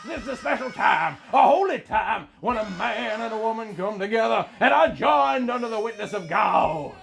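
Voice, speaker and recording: Man as wedding preacher, comic, bombastic, high-pitched, shouting, drawn-out theatrical delivery, swooping intonation. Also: expressive, vocal fry, faint music behind, exaggerated voice. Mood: bombastic, solemn. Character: booming over-dramatic preacher officiating a wedding. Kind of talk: comic dramatic reading.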